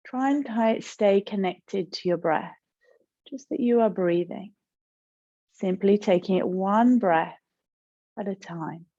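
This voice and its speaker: A woman leads a guided meditation in a calm, gentle manner. Her mid-range voice moves without hurry, pausing between short instructions. The recording is a little dull and lacks crispness. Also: medium pitch. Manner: gentle, calm, unhurried with pauses. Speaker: yoga and meditation teacher.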